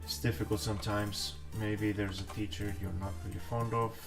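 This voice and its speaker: A man's voice: fairly low pitch, level tone, moderate pace. Man chatting on stream. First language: Greek. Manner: chatty, thinks aloud while working, chats with viewers. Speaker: live streamer drawing pixel art. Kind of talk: casual live-stream chat while drawing. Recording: distant mic with music playing. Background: background music and keyboard typing.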